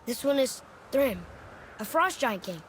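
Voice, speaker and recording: A man's voice: very high-pitched, expressive, unhurried, noisy background. A young male actor playing a curious, blunt young boy in a video game, asking questions in a matter-of-fact way. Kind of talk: video game character dialogue.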